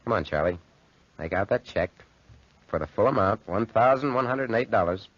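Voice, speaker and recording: Smug, rough-voiced young card cheat. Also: male voice, fairly low pitch, lively intonation, a little fast, no echo. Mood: smug, taunting. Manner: taunting, slangy, cocky. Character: smug, crude young male card cheat, mocking and callous. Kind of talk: 1940s radio horror acting. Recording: muffled 1940s radio broadcast recording.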